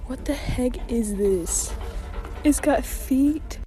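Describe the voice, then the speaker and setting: A woman filming herself in a playful, excited way while trying on a garment. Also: high-pitched, drawn-out words, soft background music. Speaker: social media video creator.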